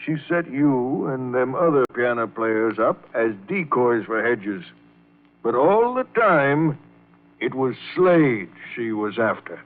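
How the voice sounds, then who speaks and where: A man's voice: mid-range voice, big pitch swings, slow, slight background noise. An actor playing a folksy older man breaking hard news to a younger man in a 1940s radio drama, with rural diction. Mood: reluctant, explaining. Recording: tinny old radio broadcast recording.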